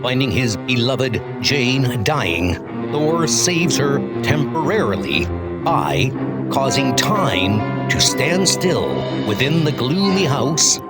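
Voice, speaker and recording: A man's voice: medium pitch, big pitch swings, takes it slowly. A man giving a grandiose, theatrical scripted narration of a comic book story. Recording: loud music under the voice.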